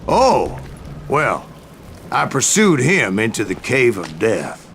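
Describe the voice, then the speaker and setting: An actor playing an aging, wry Old West bounty hunter, telling stories in a folksy American voice. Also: male voice, mid-range voice, wildly swooping pitch, slow, fairly bright tone, slight background noise, exaggerated voice. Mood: wry, dry. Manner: folksy, wry, colorful turns of phrase. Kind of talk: scripted storytelling narration.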